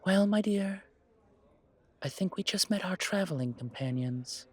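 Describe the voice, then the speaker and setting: Man playing a haughty, slow-spoken pompous vampire gentleman. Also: high-pitched, animated, slightly rough, pitched above the performer's own voice, heavily acted. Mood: wary. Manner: pompous, fussy, formal wording. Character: pompous haughty male vampire gentleman, disdainful yet protective. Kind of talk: roleplay audio drama.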